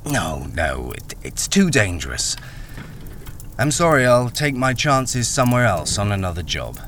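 An actor playing a wary, cornered army deserter working as a miner in a radio crime drama, hesitant and grim. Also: male voice, lowish voice, very animated, unhurried, a bit bright, slight background noise. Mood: regretful, reluctant. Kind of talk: radio crime drama acting with first-person narration.

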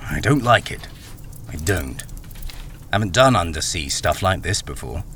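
An actor playing a wary, cornered army deserter working as a miner in a radio crime drama, hesitant and grim. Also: male voice, low-pitched, very animated, measured pace, a bit bright, a little hiss or hum, exaggerated voice. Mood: uneasy, doubtful. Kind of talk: radio crime drama acting with first-person narration.

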